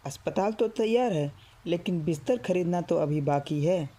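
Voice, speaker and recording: A woman's voice: lowish voice, animated, normal speed, warm tone, breathy edge. A woman voicing an earnest, worried villager in a cartoon story. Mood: sad, worried. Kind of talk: Hindi cartoon story dialogue.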